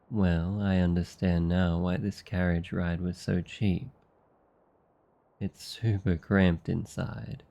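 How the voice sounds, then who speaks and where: A man playing a gentle, devoted noble demon familiar in a boyfriend ASMR roleplay, speaking softly in a tender, earnest, unhurried way. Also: low voice, vocal fry, heavily acted. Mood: playful, caring.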